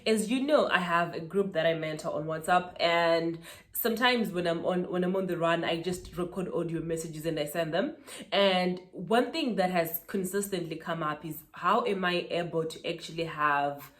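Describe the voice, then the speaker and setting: A woman's voice: lowish voice, moderate pace, fairly bright tone. A woman, a Shona speaker, giving motivational advice in an emphatic style and repeating key words for stress. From Zimbabwe. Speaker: author and speaker. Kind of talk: motivational talking-head vlog.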